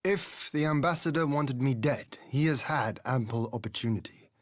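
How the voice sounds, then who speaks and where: An actor playing a composed, responsible young lord in a dramatic game scene, speaking formally and decisively. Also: male voice, medium pitch, moderate pace, dry recording, studio quality, lightly acted. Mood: resolute.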